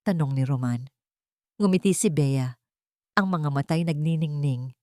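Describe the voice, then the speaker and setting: A woman's voice: low voice, normal speed, a little mellow, dry recording, studio quality. A woman narrating a scripted, dramatic love story as a storyteller.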